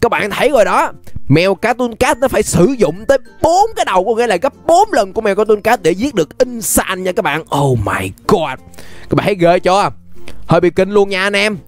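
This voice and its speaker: High-pitched young southern Vietnamese man, gaming commentator. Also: brisk, faint music behind. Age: young adult. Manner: animated, exclamatory, repeats phrases. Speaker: gaming YouTuber, host. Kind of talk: excited gameplay commentary. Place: indoors at a gaming setup. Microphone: desk microphone close to the face.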